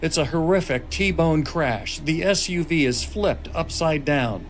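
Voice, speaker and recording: A man's voice: fairly high pitch, animated, measured pace. A man reading stage directions as scripted dramatic narration at a screenplay table read. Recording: noisy table-read room recording.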